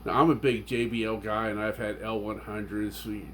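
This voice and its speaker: A man's voice: lowish voice, fairly even intonation, a little fast, a little hiss or hum. A man giving a casual, unscripted, rambling and technical review of his audio gear with enthusiasm.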